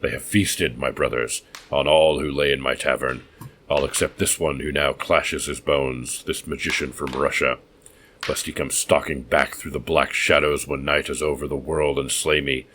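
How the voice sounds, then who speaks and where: A man's voice: fairly even intonation, moderate pace, a bit bright. A man growling as a roaring mad innkeeper. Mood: manic menace. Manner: booming wild laughter and roars.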